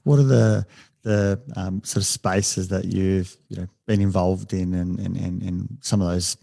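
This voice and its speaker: Deep gravelly male podcast host. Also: fairly even intonation, warm tone, no echo, clean studio sound. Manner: halting, repeats words often, enthusiastic. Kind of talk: interview questions.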